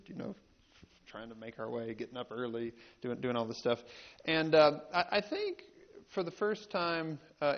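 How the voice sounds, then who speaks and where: A man from rural North Carolina with a Southern American accent, giving a folksy, halting storytelling talk as a panel speaker. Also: medium pitch. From rural North Carolina, United States. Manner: folksy, halting with repeated words. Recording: dull, band-limited live talk recording.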